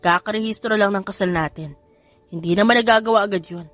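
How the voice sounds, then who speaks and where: A woman's voice: mid-range voice, fast, fairly bright tone, lightly acted. A woman voicing an irritable young male hacker in an audio drama, blunt and defensive, over music. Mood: offended, incredulous. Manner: indignant, blunt, mixing Tagalog and English.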